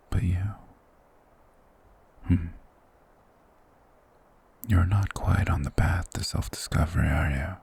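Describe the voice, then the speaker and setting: A man playing a mysterious, courteous monster hermit of the woods in an ASMR fantasy roleplay, speaking in a formal, measured, breathy whisper. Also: extremely low pitch, heavily acted. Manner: formal, slow, measured, softly persuasive.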